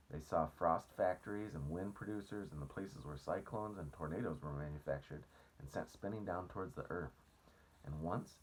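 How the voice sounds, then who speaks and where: A man reading a children's book aloud as expressive storybook narration. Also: low voice, slightly rough, rough recording.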